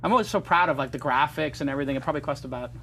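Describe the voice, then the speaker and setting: A quick, joking male host steers the talk and reads sponsor spots with breezy confidence. His voice sits a bit high. A faint electrical hum runs underneath. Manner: fast-talking, opinionated, joking. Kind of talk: casual chat and ad reads.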